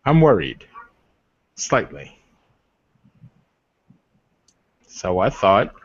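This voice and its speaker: A man acts out a stoic warrior in a tabletop roleplay, speaking slowly in a lowish voice. He is formal and deadpan, with a dry mocking edge. The recording is dull and lo-fi. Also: dry recording. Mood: dry, uneasy.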